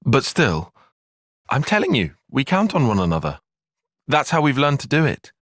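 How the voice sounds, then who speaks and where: An actor playing an earnest, cerebral young soldier and tactician in a video game, with a British accent, articulate formal phrasing and a slightly husky voice. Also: male voice, medium pitch, wildly swooping pitch, normal speed, a bit bright, dry recording, studio quality. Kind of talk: scripted video game cutscene acting.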